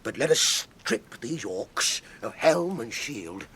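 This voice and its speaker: An actor playing a gruff, loyal, practical dwarf warrior in a radio drama, blunt and emphatic in delivery. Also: male voice, medium pitch, very animated, takes it slowly, a bit bright, a little hiss or hum. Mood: sombre.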